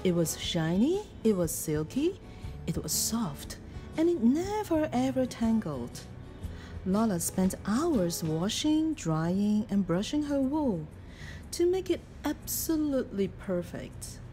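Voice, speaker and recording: A woman reading a children's picture book aloud in an expressive, deliberate storytelling manner. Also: on the high side, soft background music. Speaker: storybook reader.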